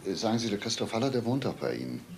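An actor playing a genial, unflappable police inspector in a crime drama, asking brisk, clipped questions. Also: male voice, lowish voice, sing-song, a little mellow, a little hiss or hum. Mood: sober, probing. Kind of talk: German TV crime drama dialogue.

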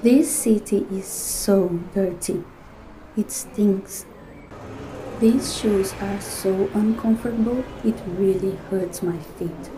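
A young woman voicing an unhappy office worker, speaking a complaining inner monologue in a weary, flat way. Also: medium pitch, slow, noisy background, close to an everyday voice. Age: young adult. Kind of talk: scripted inner-monologue narration.

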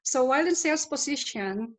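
A woman's voice: high-pitched, expressive, brisk, rough recording. A middle-aged woman from the Philippines, giving a formal, polite self-introduction as a panelist over a video call. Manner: formal, polite, carefully paced. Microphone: webcam on a video call.

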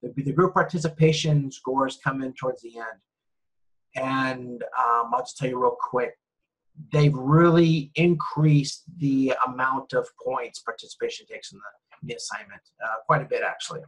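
A man's voice: medium pitch, moderate pace, breathy edge, low-quality recording. A man lecturing a university class over slides, talking loosely with many fillers and restarts.

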